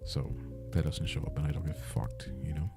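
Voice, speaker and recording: A man chatting casually on a livestream while he works, in a slightly raspy, breathy murmur, rambling, hesitant, self-deprecating and casually profane. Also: extremely low pitch, moderate pace. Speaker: livestreamer, animator. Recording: music playing behind the voice. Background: plucked guitar music.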